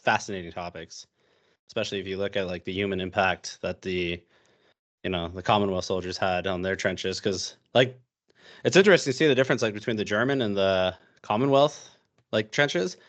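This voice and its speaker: A young American man with a fairly low voice talks loosely on a podcast as a guest. He rambles, full of fillers, restarts and asides. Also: a little fast. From United States. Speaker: podcast guest, history YouTuber. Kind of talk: casual podcast chat.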